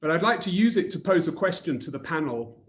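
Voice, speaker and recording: A man asking a panel a question in formal, deliberate phrasing. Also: a little high, expressive, a little hiss or hum. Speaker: audience member asking a question. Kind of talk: webinar question.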